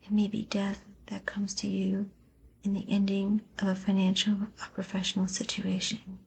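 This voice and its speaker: A woman softly whispering an ASMR poetry reading in a breathy, gentle, soothing and deliberate manner. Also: mid-range voice, monotone, slight background noise.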